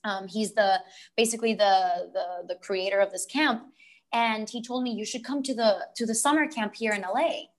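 A woman's voice: medium pitch, normal speed. Chatty young woman. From Puerto Rico. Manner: animated, rambling storyteller, frequent "like". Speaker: guest actress. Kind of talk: casual interview storytelling.

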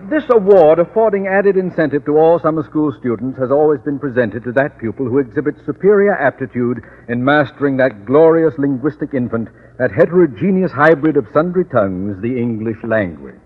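Man playing autocratic blustering principal, orotund and wordy, lively intonation, 1940s radio comedy style, narrow tinny recording. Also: mid-range voice, brisk, hardly any pauses, lightly acted. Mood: long-winded, officious. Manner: pompous, long-winded, orotund. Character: pompous, autocratic, short-tempered male school principal.

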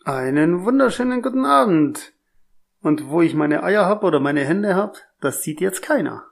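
A man co-hosting a horror movie podcast over a remote line, explaining things in a relaxed, easygoing way. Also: high-pitched, normal speed, gravelly. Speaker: podcast co-host. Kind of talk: casual podcast chat.

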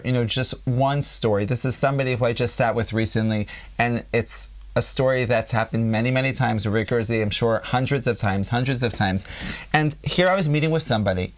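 Man, American accent, podcast host, conversational, storytelling, mid pitch. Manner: storytelling, explanatory, repeats phrases while thinking. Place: room in Israel.

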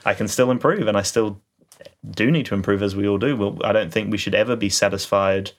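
A man's voice: fairly low pitch, a little fast. A man co-hosting a casual podcast, talking reflectively with many fillers and restarts. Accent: non-standard, mocked as a kid. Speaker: podcast co-host, YouTuber.

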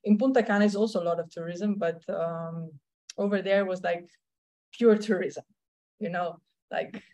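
A woman's voice: fairly low pitch, steady tone, moderate pace, darkish tone, highs cut off. A woman with a Slovenian accent, a tennis coach telling her story in an interview, with many fillers and restarts. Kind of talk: conversational interview answers.

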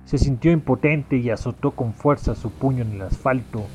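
A man's voice: medium pitch, normal speed, over music. A man reading scripted narration for a sci-fi noir audio drama. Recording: clear background noise, ambient sound effects.

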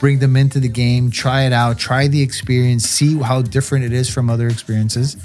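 A man from Brazil talks about his soccer club in a relaxed podcast conversation. He speaks earnestly, circling back and repeating words as he works out his point. Faint music sits behind his voice. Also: mid-range voice, a little fast.

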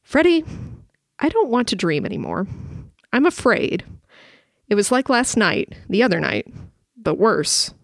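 A woman's voice: a little high, very animated, a little slow, long pauses, no echo, studio quality, full character voice. An actress playing a frightened young wife who narrates her dreams in an old radio drama, earnest and anxious. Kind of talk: 1950s radio drama acting.

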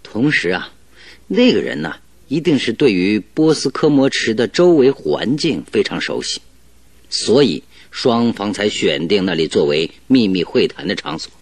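An actor playing a confident, cheerful detective in a radio drama, explaining things in an animated, self-assured, didactic way. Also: male voice, on the low side, normal speed, no room sound. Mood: assured deducing. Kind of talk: Chinese radio drama acting.

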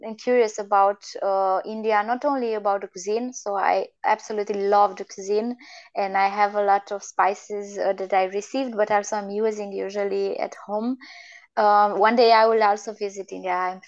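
A young woman from Romania with a Romanian accent, speaking English as a second language as a guest in a casual conversation, chatty and quick to laugh. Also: medium pitch. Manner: chatty, laughs often, many restarts. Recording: dull lo-fi audio.

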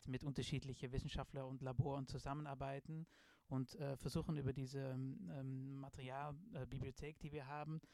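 A man's voice: mid-range voice, flat delivery, a little fast, gravelly, dark tone, no room sound. A middle-aged man with a Dutch accent, a design professor answering in a podcast interview, hesitant with many fillers and restarts. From Netherlands.

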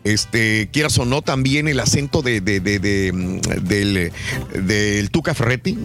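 Male radio talk host, animated delivery, soft music bed underneath. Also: lowish voice, a little slow. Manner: chatty, asks listeners questions, reads phone number. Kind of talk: casual radio talk show chat.

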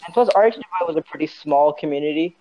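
A man's voice: on the high side, racing, highs cut off, slight background noise. A young man from Saudi Arabia chatting casually as a podcast guest, informal, joking and rambling loosely. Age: young adult. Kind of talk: casual chat.

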